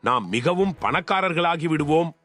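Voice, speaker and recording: A man playing a sly, greedy swindler in an animated cartoon, talking in a scheming, swaggering way. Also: on the high side, normal speed, faint music behind. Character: sly greedy male swindler selling temple land. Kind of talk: animated cartoon dub acting.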